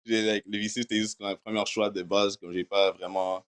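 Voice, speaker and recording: A young man from Quebec with a notably low voice, answering questions in a relaxed interview. His first language is English, and he talks loosely, dropping English filler words between his French phrases. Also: brisk. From Quebec, Canada. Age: young adult. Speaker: university football player.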